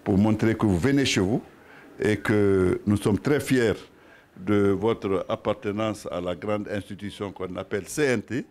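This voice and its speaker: Man, local official, formal welcome, emphatic, low voice, faint background noise. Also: a little mellow.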